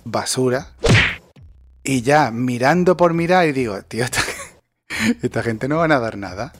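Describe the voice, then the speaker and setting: A man's voice: medium pitch, lively intonation, a little slow, no room sound, soft background music. Ranting man in his forties. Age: 40s. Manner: ranting, sarcastic, swears freely. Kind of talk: casual chat.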